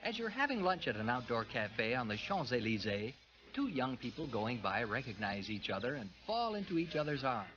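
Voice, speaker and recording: A man's voice: medium pitch, big pitch swings, normal speed, fairly bright tone, highs cut off. A man giving scripted language-lesson narration in an inviting, presentational way.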